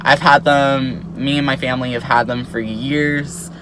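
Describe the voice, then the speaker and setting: A man's voice: mid-range voice, fairly even intonation, unhurried, noisy background. An eighteen-year-old man chatting casually to his viewers as a YouTuber, peppering his talk with "like" and "um". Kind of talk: casual vlog chat to camera.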